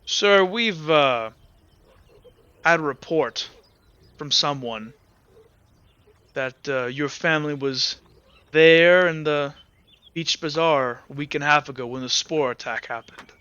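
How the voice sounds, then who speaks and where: A man's voice: a little high, takes it slowly, pauses often, bright, forceful tone, clean tone, dry recording, highs cut off. A man voicing a stern male Inquisitor soldier who speaks in a curt, formal, businesslike way.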